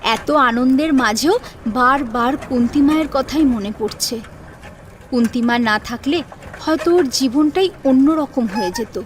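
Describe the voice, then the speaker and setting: A woman's voice: sits high, measured pace, a little hiss or hum. A woman narrating an audio story in a dramatic, scripted storytelling style. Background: faint background music.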